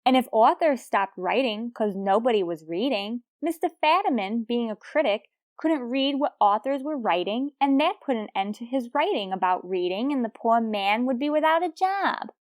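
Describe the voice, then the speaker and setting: Woman playing a high, scatterbrained New chatterbox. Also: lively intonation, moderate pace, few pauses, dry recording, studio quality, lightly acted. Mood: rambling, earnest. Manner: earnest, rambling, indignant. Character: scatterbrained, chatty young woman tavern regular, pretentious. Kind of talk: old-time radio comedy acting.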